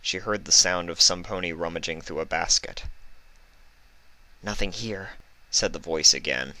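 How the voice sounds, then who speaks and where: Low, bright-voiced man narrating. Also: a little fast, dry recording. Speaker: fan fiction reader. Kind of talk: scripted story narration.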